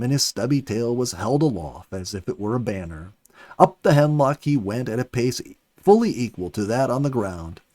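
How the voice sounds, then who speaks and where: A man reading a story aloud in an expressive, dramatic audiobook style, with emphatic swells and softer phrase endings. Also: mid-range voice, no echo. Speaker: story reader, host of a children's story program. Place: dry, close studio recording.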